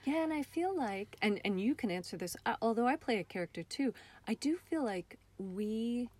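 A woman speaking as a theater director in a reflective interview, with an expressive, earnest way of talking. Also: fairly high pitch, normal speed.

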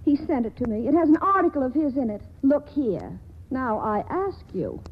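A woman's voice: high-pitched, big pitch swings, moderate pace, husky, exaggerated voice. An actress playing an impulsive young woman with a coquettish, petulant manner, in 1940s radio acting style. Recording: muffled old radio broadcast, faint hiss.

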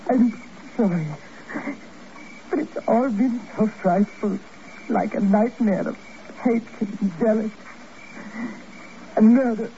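An actress playing an overwrought, defiant and glamorous screen star, theatrical and impassioned, in a 1950s radio melodrama. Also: female voice, fairly high pitch, slow, long pauses, warm tone, slight background noise. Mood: anguished. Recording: muffled vintage 1950s radio recording.

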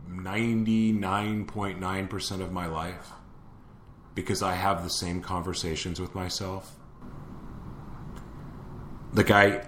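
A man's voice: fairly low pitch, a bit bright, reverberant, a little hiss or hum. A man hosting a live motivational show, preaching to his listeners and asking them the same question again and again. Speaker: show host.

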